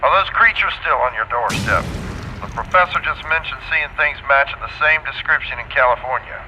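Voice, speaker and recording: A man acting in an audio drama as a steady, loyal crew leader, supportive and measured as he talks with a friend by radio. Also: highs cut off, lightly acted. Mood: steady concern. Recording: noisy radio link, rumbling background.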